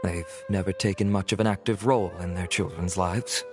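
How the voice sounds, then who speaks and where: An actor playing a measured, formal investigator who reports findings politely, in a game scene with faint music. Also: male voice, deep voice, fairly even intonation, natural voice.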